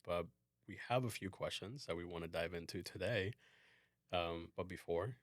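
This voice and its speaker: A man hosting a casual work podcast as a design manager, explaining things in a relaxed way with frequent fillers. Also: deep voice, moderate pace, dry recording, studio quality.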